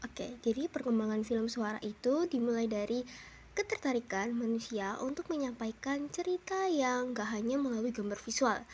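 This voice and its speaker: Young female student presenter, a little hoarse and under the weather, easygoing voice-over delivery. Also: fairly high pitch, a little mellow, a little hiss or hum. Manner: chatty, peppers talk with "nah" and "gitu deh". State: hoarse, a bit unwell. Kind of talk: casual educational voice-over. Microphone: home-recorded dubbing.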